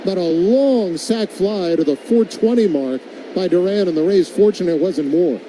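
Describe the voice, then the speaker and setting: Male baseball announcer, very dark mellow tone, slightly high pitch, live play-by-play, faint outdoor noise behind. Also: moderate pace, low-quality recording. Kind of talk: live radio baseball commentary. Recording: background noise of an outdoor ballpark.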